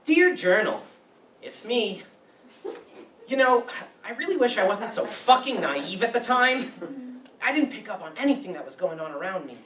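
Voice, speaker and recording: An earnest, self-serious teenage boy, acted by a man in a stage play reading. The delivery is highly animated and bright, with emphatic indignation ready at hand. It is heard from a distance in an echoey room. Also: normal speed, long pauses, heavily acted. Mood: wistful. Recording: roomy, distant mic with background noise.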